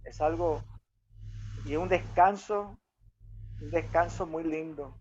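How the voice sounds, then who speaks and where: A man whose first language is English, speaking Spanish in a casual Bible discussion and often pausing to search for words. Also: on the high side, darkish tone, muffled recording, a little hiss or hum. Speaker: Bible study participant.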